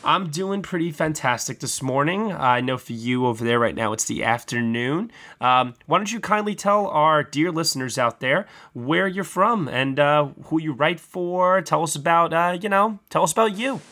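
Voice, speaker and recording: A man's voice: fairly high pitch, animated, normal speed, few pauses, bright, forceful tone. A man hosting a film review podcast, chatty and upbeat as he introduces the guests. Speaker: podcast host.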